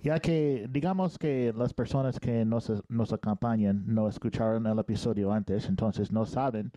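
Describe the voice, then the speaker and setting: An older man hosting a casual interview, friendly and gently teasing, asking guiding questions. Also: on the low side, fairly even intonation, normal speed, no room sound. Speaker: podcast host.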